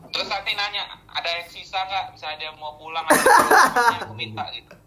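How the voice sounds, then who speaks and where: Man, high pitch, animated, teasing, roomy echo. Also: brisk. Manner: storytelling, teasing, laughs a lot. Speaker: podcast co-host. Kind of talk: casual group chat. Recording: roomy echo with crowd noise.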